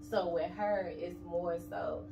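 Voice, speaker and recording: A woman's voice: on the low side, fairly even intonation, slow pace. Blunt streetwise woman in a noisy echoey room. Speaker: interview guest. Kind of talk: casual interview chat. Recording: echoey room, distant mic, noisy background.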